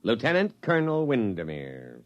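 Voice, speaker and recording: A man's voice: medium pitch, wildly swooping pitch, normal speed, highs cut off. A man playing an earnest, by-the-book army colonel, speaking with self-important military formality in a vintage radio drama. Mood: officious. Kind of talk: 1950s radio drama acting and narration.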